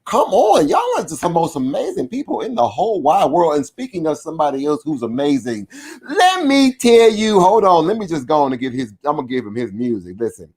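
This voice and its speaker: A man hosting an online alumni show, chatting casually and shouting with excitement as he brings on guests, speaking over a video call. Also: on the high side, brisk, breathy edge. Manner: excitable, shouts and whoops when introducing guests. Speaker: show host. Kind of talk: hype introduction and casual interview chat.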